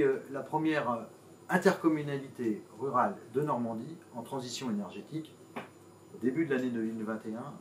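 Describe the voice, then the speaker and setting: A man gives a formal public address as a mayor, in measured, emphatic phrases broken by pauses and hesitations. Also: medium pitch, a little hiss or hum. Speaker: mayor and intercommunal president. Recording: roomy, distant microphone.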